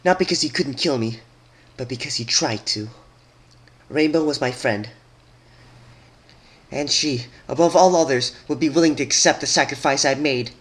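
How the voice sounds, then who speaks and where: A man's voice: mid-range voice, moderate pace, long pauses, fairly bright tone, slightly rough, pitched above the performer's own voice. A man voicing a wrathful, regal female pony princess who scolds in a commanding, accusatory way in a dramatic reading. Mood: bitter reproach. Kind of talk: dramatic fan-fiction reading.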